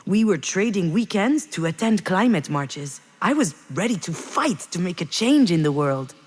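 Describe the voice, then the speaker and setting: A woman's voice: fairly low pitch, sing-song, breathy edge. Reflective mother in cavernous reverb. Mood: wistful, fired up. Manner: introspective, measured, confessional. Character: reflective grieving mother, a screenwriter, recalling memories. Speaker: narrating protagonist of a visual novel. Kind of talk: dramatic first-person game monologue. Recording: long reverberant hall echo.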